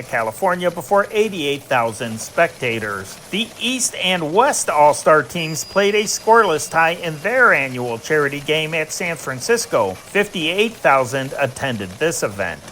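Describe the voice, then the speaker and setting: News reader, mid-pitched, formal and measured, scripted bulletin copy, faint noise under the voice. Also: a bit bright. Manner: formal, measured newscaster delivery with spoken datelines. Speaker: news reader and channel host. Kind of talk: scripted news reading of old wire dispatches.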